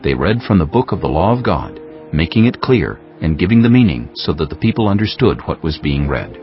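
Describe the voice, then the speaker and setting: A man narrating scripture for an audiobook in a measured, formal manner. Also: low voice, big pitch swings. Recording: dull lo-fi audio under prominent music.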